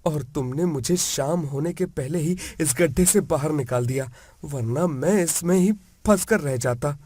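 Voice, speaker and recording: A kind magical prince from a cartoon fairy tale, acted by a man, speaks slowly and earnestly. His voice is fairly high and reassuring, in a dry recording. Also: darkish tone, no echo. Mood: earnest, explaining. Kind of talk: Hindi animated moral-story dialogue.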